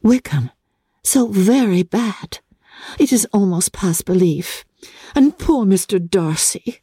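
A woman reader plays a gentle young English gentlewoman from a classic novel. She is tender-hearted and easily distressed, speaking in a soft, breathy, earnest way with very lively rises and falls. The sound is close and dry. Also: medium pitch, takes it slowly, no room sound. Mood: distressed pity. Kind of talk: dramatic classic novel reading.

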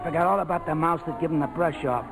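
An actor playing a rough, loyal American ex-airman in a 1940s radio drama, talking in slangy, streetwise fashion. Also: on the high side, fast, music in the background, low-quality recording. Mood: hearty, scheming. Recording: vintage 1940s radio broadcast.

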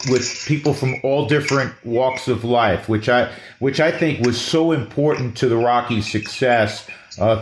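A male host asks long, chatty, wind-up questions in a mid-pitched voice. The room around him gives a clear, roomy echo. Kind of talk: conversational interview.

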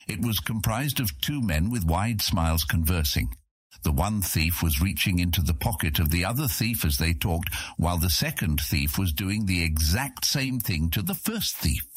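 A man's voice: sits low, breathy edge, no room sound, studio quality. A man narrating a fantasy audiobook in a scripted, dramatic storytelling manner.

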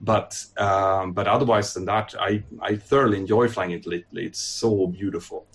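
Man from northern Sweden, airline training captain, low voice, unhurried chatty interview answers. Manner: relaxed, thoughtful, many fillers and restarts. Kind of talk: podcast interview.

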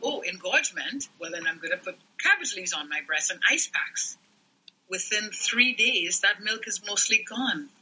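Husky man, bright tone. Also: high voice, expressive, normal speed, rough recording. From Trinidad and Tobago. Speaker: newborn care and lactation specialist. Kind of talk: podcast interview guest.

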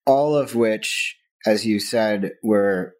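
A male podcast host with a fairly low voice lays out long, winding questions. He pauses to gather each thought before moving on to the next. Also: darkish tone. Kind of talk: interview questions.

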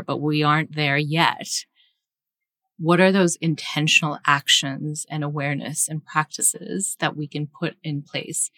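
A woman's voice: low voice, a bit bright, no room sound, clean studio sound. A woman from Singapore, a guest author on a podcast interview, speaking with passionate emphasis and frequent restarts.

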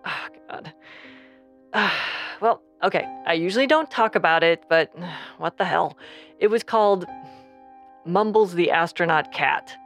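A woman's voice: fairly low pitch, unhurried, faint music behind. A woman interviewed as a guest, speaking conversationally in a sheepish, confiding way. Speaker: interview guest, audio drama creator.

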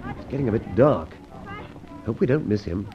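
A brisk, middle-aged gentleman sleuth played by a male actor in an old radio serial. His delivery swings freely in pitch as he muses and exclaims, on a dull, muffled recording. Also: expressive, background noise, close to an everyday voice. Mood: urgent, planning.

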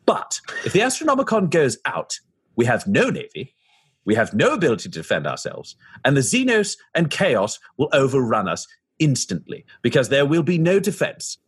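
Husky, animated man role-playing. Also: medium pitch, normal speed. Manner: animated, sardonic. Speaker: tabletop game player. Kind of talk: in-character role-play.

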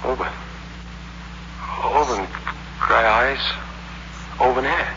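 An actor playing a desperate young ex-convict in a 1960s radio suspense drama, with a menacing, tense delivery. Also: male voice, mid-range voice, slow pace, gravelly. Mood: weak, shaken. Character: desperate young male ex-convict robber, narrating his crime. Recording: muffled, very noisy old radio recording.

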